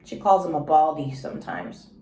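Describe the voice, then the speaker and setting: A woman teacher reading a children's story aloud in an expressive, instructive way, pausing to quiz her young listeners. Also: low voice, a little hiss or hum. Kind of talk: children's story read-aloud with questions to pupils. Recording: echoey room.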